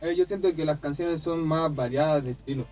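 A man's voice: on the high side, brisk, a little mellow, soft background music. A man, a band member explaining songs in easygoing casual talk. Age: 20s. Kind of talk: casual interview chat.

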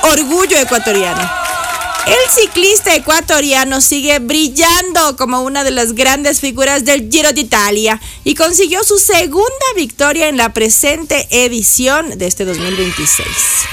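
A woman's voice: sits high, expressive, normal speed, fairly bright tone, soft background music. Chatty woman radio host. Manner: playful, teasing asides between news items. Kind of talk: chatty news commentary.

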